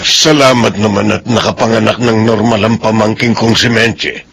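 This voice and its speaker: A middle-aged man voicing a calm, practical uncle in a radio drama, with a gravelly voice. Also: lowish voice, normal speed, faint music behind, exaggerated voice. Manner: calm, counseling. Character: older uncle, steady and practical. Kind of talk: classic radio drama acting.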